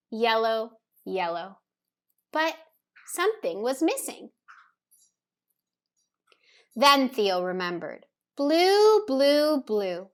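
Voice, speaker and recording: A woman reading a children's picture book aloud with deliberate, careful phrasing for young listeners. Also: on the high side. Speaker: storybook reader. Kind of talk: children's story read-aloud, scripted narration.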